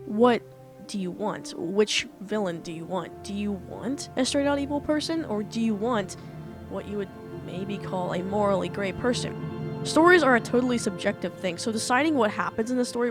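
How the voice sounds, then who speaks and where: Young woman explaining writing, faint music. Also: mid-range voice. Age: young adult. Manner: earnest, talks through points step by step. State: voice slightly off after illness. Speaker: author and writing teacher. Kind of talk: casual explainer lecture.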